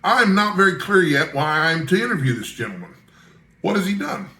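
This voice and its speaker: A man's voice: high voice, big pitch swings, fairly quick, pushed and bright, reverberant, voice pushed up, exaggerated voice. A man voicing an eager young reporter from a storybook, earnest and deferential. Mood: respectful.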